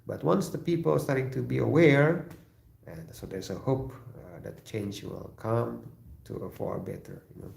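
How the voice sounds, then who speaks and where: Gravelly Indonesian man, halting and echoey. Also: mid-range voice, darkish tone, a little hiss or hum. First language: an Indonesian regional language. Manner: halting, many fillers and throat clearing, laughs often. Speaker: livestream host. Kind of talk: casual chat with live chat viewers.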